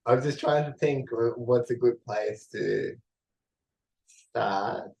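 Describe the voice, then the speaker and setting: A man's voice: mid-range voice, slow pace, vocal fry, darkish tone. A man from Iran co-hosting a casual podcast, talking in a thoughtful way as he searches for his words. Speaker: podcast co-host.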